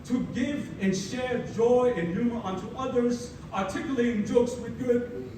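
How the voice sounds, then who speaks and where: A young man from the Philippines, a native Tagalog speaker, giving a ceremonial keynote speech with energetic, playful storytelling and dramatic pauses. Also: extremely high pitch. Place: large hall at a ceremony. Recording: echoey hall, mic far off, audible room noise.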